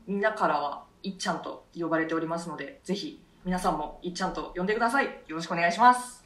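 A woman's voice: fairly low pitch, fast, fairly bright tone. A woman, an actress co-hosting a radio show, explaining casually among colleagues. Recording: echoey room, distant mic.

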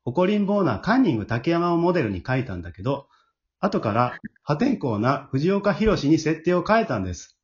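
A man's voice: a little high, very animated, moderate pace. A man hosting a casual chat show, using polite announcer-style phrasing and reading out messages. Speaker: host. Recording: muffled lo-fi audio with background noise.

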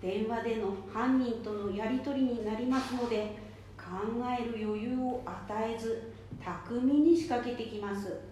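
A woman's voice: a little high, measured pace, warm tone, close to an everyday voice. A woman playing a patient, polite safety advisor who explains things step by step in an amateur radio drama. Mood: patient, advising. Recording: very echoey room, faint noise.

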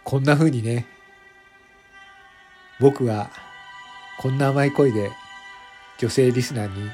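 A man's voice: mid-range voice, takes it slowly, dark tone, close to an everyday voice. A man playing a self-conscious factory worker turned popular voice streamer, narrating a confessional radio drama monologue. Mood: confiding, modestly proud. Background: background music.

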